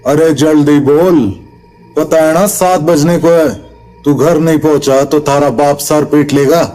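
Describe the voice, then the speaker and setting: A man acts a cynical, mocking villager in a Hindi horror audio drama. His rustic Haryanvi-Rajasthani speech drags slowly, sneering and impatient. The voice sits somewhat high, with faint music underneath. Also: little pitch movement, dark tone, lightly acted, low-quality recording. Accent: rural Haryanvi-Rajasthani Hindi.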